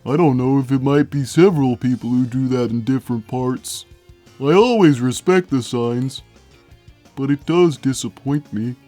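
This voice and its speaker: Man voicing a mellow, gentle big cartoon cat. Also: medium pitch, moderate pace, soft background music, natural voice. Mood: mildly disappointed. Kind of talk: fan-made cartoon dub.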